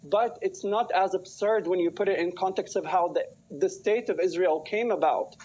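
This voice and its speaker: A man's voice: high-pitched, moderate pace, a little mellow, slight background noise. A man in his twenties from Palestine, speaking articulately and emphatically as a news interview guest over a video call. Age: 20s. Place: small room at home, indoors. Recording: dull video-call audio.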